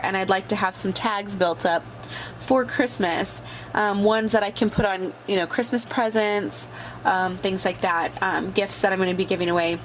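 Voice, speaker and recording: A woman's voice: mid-range voice, a little hiss or hum, low-quality recording. A woman with a bad cold hosting a casual crafting tutorial, talking along chattily with many fillers as she works. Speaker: crafting channel host.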